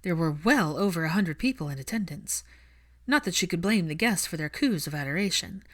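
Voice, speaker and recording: A woman's voice: on the low side, expressive, brisk. A woman reading fiction aloud as an audiobook narrator, in a scripted storytelling style.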